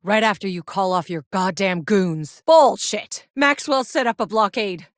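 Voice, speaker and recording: A woman's voice: mid-range voice, a little slow, dry recording, close to an everyday voice. A woman voicing a tough, sardonic, foul-mouthed female soldier in a video game, with a dry, blunt delivery. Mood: angry, defiant. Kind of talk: video game character voice lines.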